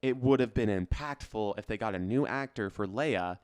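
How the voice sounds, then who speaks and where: A man with a clean, smooth voice, recorded close and dry, chats as a podcast co-host. He is opinionated and emphatic, speaking in loose slang full of fillers. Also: on the low side, moderate pace, a little mellow, no echo. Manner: emphatic, slangy, peppers speech with "like". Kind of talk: casual, opinionated pop culture chat.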